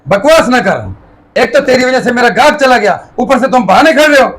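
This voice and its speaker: Very high-pitched stern tailor master , male actor. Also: sing-song, a little fast, fairly bright tone, full character voice. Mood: scornful anger. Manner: brusque with underlings. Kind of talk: moral story drama acting.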